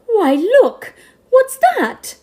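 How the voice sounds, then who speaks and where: An excitable young lady of the opera, acted by a woman, with an extremely high voice. She speaks slowly and melodramatically, in comic period audio drama style. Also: heavily acted. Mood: curious.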